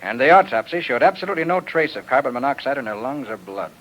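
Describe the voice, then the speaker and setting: An old-time radio recording of a private detective. He talks fast with a lively, swinging pitch, probing and coolly analytical in the manner of a 1940s mystery show. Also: poor audio.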